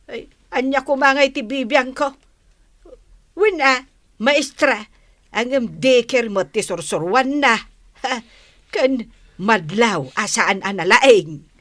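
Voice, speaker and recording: A brash Ilocano busybody woman in a radio comedy drama. Her voice sits fairly high and is recorded close and dry. She mocks, boasts and argues with open scorn. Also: slow, long pauses, a bit bright, dry recording. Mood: scornful. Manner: mocking, boastful, argumentative. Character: brash, scornful village gossip woman who belittles a teacher.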